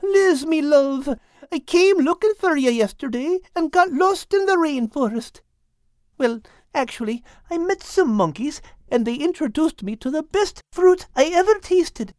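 A man's voice: very high-pitched, animated, measured pace, darkish tone, dry recording, studio quality, pitched above the performer's own voice. A man voicing a cheerful, affectionate male cat in a storybook reading, with homely folksy talk. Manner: homely folksy talk, calls her "love" and "lass".

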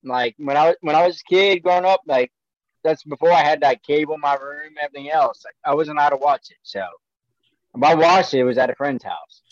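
A man's voice: a little high, a little fast, no room sound. A man in his late thirties chatting casually as a panelist on a podcast. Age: late 30s. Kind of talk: casual chat. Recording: dull, lo-fi, dry sound.

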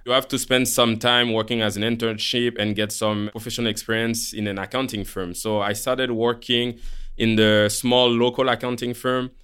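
Bright-voiced man with a Haitian French accent. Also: medium pitch, level tone, normal speed, clean tone. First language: Haitian Creole and French. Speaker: podcast co-host, portfolio manager. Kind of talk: conversational interview, explaining career.

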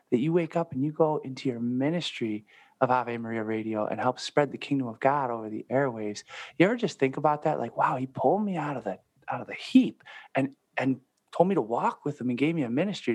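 Husky-voiced priest, dry close sound. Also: male voice, mid-range voice, dry recording. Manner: encouraging, quotes scripture with slow emphasis. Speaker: priest, co-host. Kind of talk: casual podcast chat.

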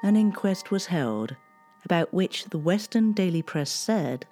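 A mellow, warm-toned narrator reading a historical account in a measured, solemn way. The scripted narration moves evenly at a middle pitch, with faint music underneath. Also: expressive. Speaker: documentary narrator.

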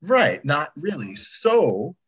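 A man's voice: fairly high pitch, huge pitch swings, takes it slowly, a bit bright. A man talking casually as a podcast guest, blunt and emphatic, often checking in with his listeners. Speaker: writer and podcast producer, guest. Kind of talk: casual interview talk, giving tips.

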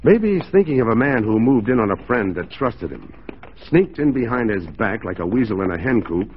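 An actor playing a proud, upright mounted police officer with a firm, plain-spoken manner in a 1940s radio drama. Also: male voice, mid-range voice, sing-song, a little fast, natural voice. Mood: pointed. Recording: muffled, noisy 1940s radio recording.

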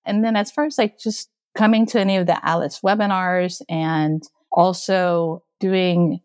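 A woman's voice: on the low side, steady tone, unhurried, a little mellow. A woman who grew up in Okinawa, a school technology leader speaking earnestly as a guest in an interview. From Okinawa, Japan. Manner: earnest, acts out quoted exchanges. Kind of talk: casual interview talk.

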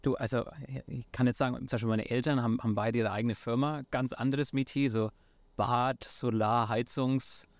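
A man's voice: mid-range voice, fairly even intonation, normal speed, no echo. Franconian man, hesitant and dry-miked. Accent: Franconian German. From Franconia, near Nuremberg, Germany. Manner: hesitant, many fillers and restarts, mixes in English words. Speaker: startup founder guest. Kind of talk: casual interview talk.

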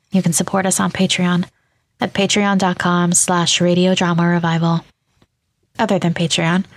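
A woman reading scripted podcast announcements in a measured, clearly enunciated way. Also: medium pitch. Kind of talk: scripted narration.

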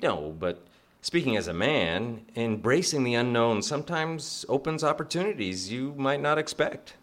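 An actor playing an attentive, earnest suitor with a hesitant, courting manner in a radio drama. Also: male voice, medium pitch, lively intonation, moderate pace, fairly bright tone, close to an everyday voice. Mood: tender, hesitant. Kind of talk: live radio drama acting.

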